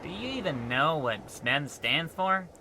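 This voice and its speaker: A man's voice: fairly high pitch, sing-song, unhurried, background noise. An actor playing a timid, earnest, long-suffering spaceship crewman in an old-time radio comedy, hesitant and deferential. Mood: resigned.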